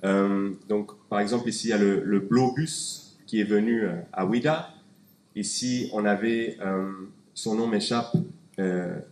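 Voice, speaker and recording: A man's voice: fairly low pitch, darkish tone. A man from Canada giving a conference presentation, talking through slides with many fillers and restarts. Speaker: conference speaker. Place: conference hall. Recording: echoey hall, faint background noise.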